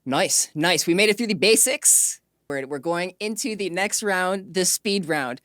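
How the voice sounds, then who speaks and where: A woman's voice: on the low side, unhurried, a bit bright, no room sound, studio quality. A woman hosting an interview in a casual, informal way, often affirming with short repeated acknowledgements. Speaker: interview host.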